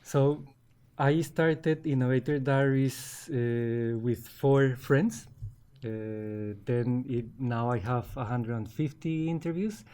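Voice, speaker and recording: A man's voice: medium pitch, fairly even intonation, slow, mellow, clean tone. A man in his forties from Colombia with a Colombian Spanish accent, a guest in a casual interview who often restarts his words. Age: 40s.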